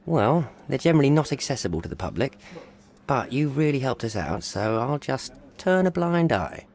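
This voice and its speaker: An actor playing a chatty, affable and put-upon police desk officer in a video game, informal and wry. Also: male voice, mid-range voice, sing-song, moderate pace, a little mellow. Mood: friendly, sly.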